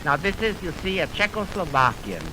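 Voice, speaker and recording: A man hosting a 1920s radio music program, lecturing in a formal, oratorical, measured way on a crackly old broadcast recording. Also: sits high, very animated. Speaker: radio host introducing orchestral music. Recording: noisy, crackly 1920s optical film radio aircheck.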